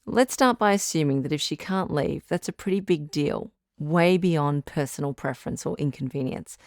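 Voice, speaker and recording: An actress playing a patient woman advice-show doctor in an audio drama, measured, tactful and reassuring. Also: low-pitched, gravelly, dry recording, clean studio sound. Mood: calm, tactful.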